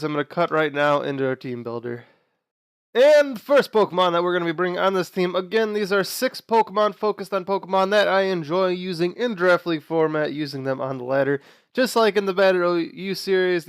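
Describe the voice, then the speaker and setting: A young man hosting a gaming video, talking casually through his team choices while sick, sounding nasal and quieter than usual. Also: on the high side, clear, resonant tone, dry recording, clean studio sound. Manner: chatty, explains team choices with fillers and restarts. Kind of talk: casual gameplay commentary.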